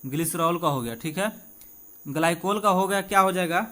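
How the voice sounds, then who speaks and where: High-pitched Indian man teaching. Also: a bit bright. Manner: informal, repeats key points, checks in with listeners; reads questions slowly and deliberately in English, then explains in Hindi. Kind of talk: exam-prep chemistry lesson, explaining questions aloud.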